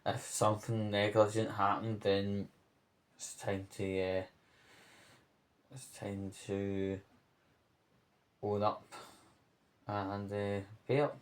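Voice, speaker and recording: A man giving off-the-cuff spoken commentary on local news, rambling, pausing mid-phrase and checking in with the listener. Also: lowish voice, flat delivery.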